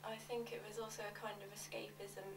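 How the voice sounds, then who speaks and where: A woman's voice comes from off the mic in an echoey room with faint noise. She reads a scripted monologue in a level, awkward, deadpan way. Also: mid-range voice, fairly quick, creaky, far from the mic. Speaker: reader of fans' confessions. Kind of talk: reading a scripted monologue of fan confessions.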